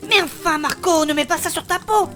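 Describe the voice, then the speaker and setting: A woman's voice: extremely high pitch, fairly quick, sharp tone. A woman voicing a refined, kindly young woman who primly scolds her companions. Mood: reproachful. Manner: polite, reproachful, refined.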